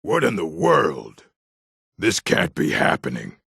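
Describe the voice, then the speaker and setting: Very low, gravelly orc warrior. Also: male voice, wildly swooping pitch, slow, a bit bright, no room sound, clean studio sound, heavily acted. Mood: alarmed. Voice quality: gravelly, husky. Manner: blunt, gruff, terse. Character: gruff, proud male orc warrior of a fantasy world. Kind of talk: video game character voice lines.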